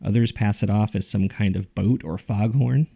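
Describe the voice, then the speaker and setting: A man playing an earnest paranormal podcast host, narrating in a serious, measured and deliberate way. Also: on the low side, vocal fry, mellow, husky. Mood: uneasy, intrigued. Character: earnest male paranormal podcast host reading a listener's ominous letter. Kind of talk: scripted narration, horror fiction podcast. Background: music.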